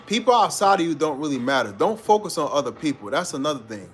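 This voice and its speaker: A man's voice: fairly high pitch, big pitch swings, fast, fairly bright tone, soft background music. Laid-back New man, motivational chat. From New York. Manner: laid-back, slangy, calls listeners bro. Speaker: live-stream host. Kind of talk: casual motivational live-stream chat.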